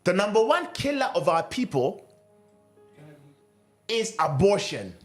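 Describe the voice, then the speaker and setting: A man preaching in an earnest, urgent way, leaning on questions and repeating his words. His voice sits high and rises and falls a great deal. Soft music plays faintly underneath. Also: big pitch swings, measured pace. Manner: earnest, rhetorical questions, repeats key phrases. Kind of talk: sermon.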